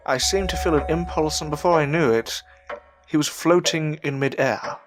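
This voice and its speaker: A man playing an earnest, anxious upper-class gentleman learning magic in an audio drama, speaking politely and formally with a hesitant stammer. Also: a little high, moderate pace, lightly acted. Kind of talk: audio drama acting with narrated reflections. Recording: voice over prominent background music.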